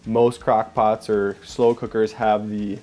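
A man's voice: flat delivery, slow pace, dark tone, soft background music. A young man hosting a casual cooking demo in a home kitchen, chatty and upbeat, narrating each step as he works. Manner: upbeat, chatty, says "boom" while working. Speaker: cooking show host.

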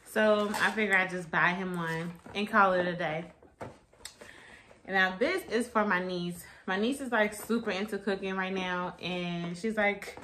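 A young woman chatting casually and informally to camera, her voice a little raspy and tired. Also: mid-range voice, unhurried, pushed and bright, slight background noise. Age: young adult. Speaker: vlogger. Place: small room at home, indoors.

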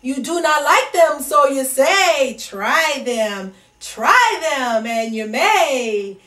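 A woman's voice: high-pitched, expressive, very slow, a bit bright, full character voice. A woman voicing a cheerful, persistent storybook pest who coaxes and keeps asking questions. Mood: coaxing. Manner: coaxing, questioning. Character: persistent cheerful pest pushing food on someone. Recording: echoey room, distant microphone.

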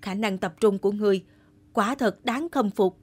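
A woman voicing a stately older male general in an animated folktale, speaking formally with measured authority. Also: mid-range voice, husky, natural voice. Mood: grave, approving.

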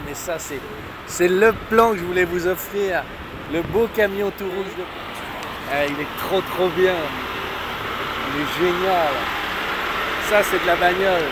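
A middle-aged man hosting a car channel, talking excitedly to a handheld camera as he walks down a city street. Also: sits high, a little slow, gravelly, pauses often. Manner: excitable, exclaiming. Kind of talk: enthusiastic travel vlog to camera. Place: busy city street outdoors. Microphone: handheld camera on a gimbal. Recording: loud traffic noise.

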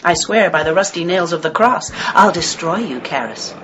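Low, mocking demon in a girl. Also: expressive, moderate pace, fairly bright tone. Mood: menacing. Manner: taunting, theatrical, erudite wordplay. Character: mocking demon possessing a young girl, sardonic and menacing. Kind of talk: radio horror drama acting. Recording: dull, noisy radio-play recording with clicks.

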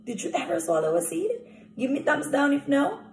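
A young woman teacher leading an interactive picture-book read-aloud, animated and asking children questions directly. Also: sits high, a little fast, vocal fry, slight background noise. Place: small indoor room at home. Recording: echoey room recording.

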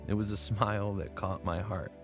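A man's voice: lowish voice, steady tone, normal speed. Earnest man narrating over music. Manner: earnest, reflective. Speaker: narrator and lead character. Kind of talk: scripted radio drama narration. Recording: studio drama over music. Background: music bed.

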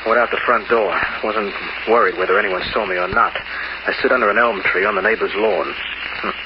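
Actor as hard-boiled crook, muffled old radio. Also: male voice, on the low side, steady tone, fairly quick, natural voice. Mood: wry, tense narration. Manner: laconic, wry. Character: hard-boiled male crook narrating his failed heist, wry, menacing. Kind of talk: 1940s-50s radio noir narration. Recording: noisy, dull old radio recording.

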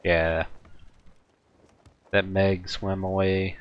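A man's voice: sits low, extremely slow, clean tone, muffled recording. A man chatting casually and playfully with a friend while playing a video game. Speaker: let's play gamer. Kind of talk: casual gaming chat with a friend. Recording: steady game ambience noise behind voice. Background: game sea ambience.

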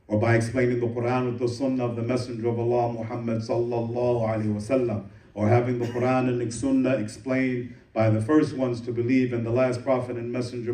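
A man preaches a Friday sermon at a quick clip, his low, mellow voice staying fairly flat. He rattles off Arabic verses and then explains them in English. The sound is roomy and heard from some distance in a mosque hall. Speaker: imam giving Friday sermon. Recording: echoey room, voice from a distance.

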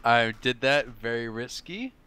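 A man's voice: medium pitch, animated, unhurried, crowd noise. A man playing a game with friends, joking and swearing in casual banter. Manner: profane, joking. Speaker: co-player.

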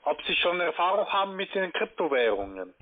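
A man who is not a native German speaker, giving a polite sales pitch on the phone. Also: big pitch swings, a little fast. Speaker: call-centre caller pitching cryptocurrency. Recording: tinny narrowband phone line.

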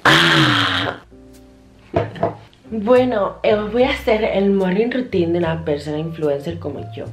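A woman's voice: fairly low pitch, very animated, a little slow, a bit bright, roomy sound, soft background music. A young woman in her twenties filming an ironic, self-mocking parody vlog on a handheld camera at arm's length in her small apartment. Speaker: vlogger. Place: small apartment kitchen and bedroom.

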